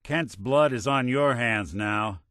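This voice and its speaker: An actor playing a world-weary, wry but kindhearted android detective in a video game, with hardboiled old-fashioned slang. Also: male voice, mid-range voice, slow pace, fairly bright tone, no room sound, studio quality. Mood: stern.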